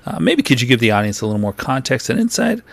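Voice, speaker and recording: A man's voice: mid-range voice, very animated, quick pace, creaky, no echo. A man hosting a radio interview, asking friendly, talkative questions. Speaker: radio host.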